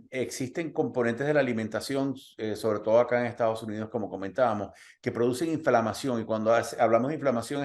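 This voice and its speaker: A man's voice: mid-range voice, a little mellow. Chatty man hosting a health talk. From Cuba, raised in Puerto Rico. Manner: chatty, many fillers, personal anecdotes. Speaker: host. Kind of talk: conversational interview.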